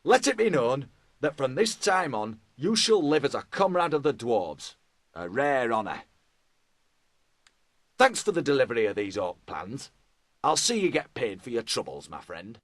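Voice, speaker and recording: A man's voice: medium pitch, very animated, moderate pace, no room sound. A man playing a proud, gracious dwarf lord in a video game, making stately, formal proclamations. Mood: proud, grateful. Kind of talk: video game cutscene acting.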